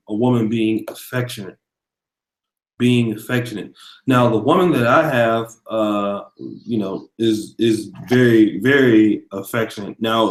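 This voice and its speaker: A man hosting a casual video interview, asking long questions with many pauses and fillers. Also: on the low side, roomy sound, low-quality recording. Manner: thanks viewers mid-question, hesitant fillers. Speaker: host.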